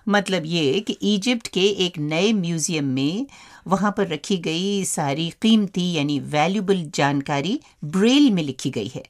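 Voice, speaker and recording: Animated Indian woman presenting radio. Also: on the low side, unhurried. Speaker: radio show co-host. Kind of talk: scripted radio presenting and banter.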